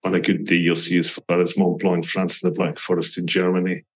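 A middle-aged man from Scotland with a Scottish accent, a guest on a travel podcast, calmly explaining visitor details and listing them one by one. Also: sits low, brisk. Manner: explanatory, lists details steadily. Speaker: guest from a mountain attraction. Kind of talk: podcast interview.

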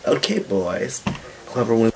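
A man's voice: big pitch swings, unhurried, creaky, a little mellow. A young teen boy in an old home video, talking in a goofy, hammy skit manner. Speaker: younger self in an old vlog clip. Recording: old low-quality camera clip with faint noise.

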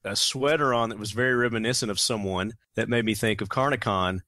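Relaxed man hosting a dry-sounding podcast. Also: on the low side, quick pace, dry recording. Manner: relaxed, chuckling, explains products. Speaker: podcast host. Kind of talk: casual interview chat.

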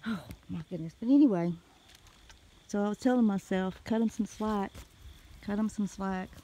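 A woman with a Southern US accent telling a story casually in a folksy, animated way, recorded on a handheld phone outdoors. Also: medium pitch, moderate pace. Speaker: homestead vlogger. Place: outdoors in a wooded rural yard.

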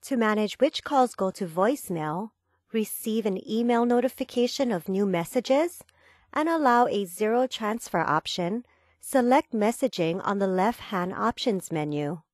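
A woman reading a scripted instructional voice-over in a measured, formal, step-by-step manner. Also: medium pitch, expressive, darkish tone, no room sound. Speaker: tutorial narrator.

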